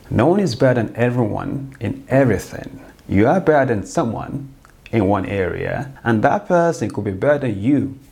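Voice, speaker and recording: A man's voice: mid-range voice, gravelly, dark tone. A man hosting his own podcast, giving an earnest, explanatory solo talk straight to his listeners. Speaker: podcast host.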